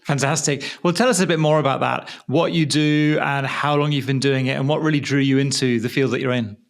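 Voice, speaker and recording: A young adult man hosting a podcast, giving a scripted introduction and asking quick, upbeat questions. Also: mid-range voice, fairly even intonation, creaky. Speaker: podcast host.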